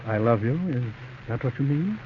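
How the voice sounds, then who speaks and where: An actor playing an infatuated, evasive married man with hesitant, halting delivery in a 1940s radio drama. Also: mid-range voice, lively intonation, lightly acted. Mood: hesitant, tender. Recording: noisy muffled old radio recording.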